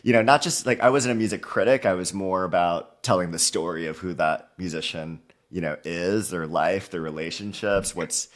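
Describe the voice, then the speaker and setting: A very deep-voiced man from Hawaii in his thirties talks easily as a podcast guest. His speech is upbeat and loose, strung together with "you know" and "like". He sounds relaxed and conversational. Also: moderate pace, hardly any pauses. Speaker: guest author. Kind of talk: casual interview conversation.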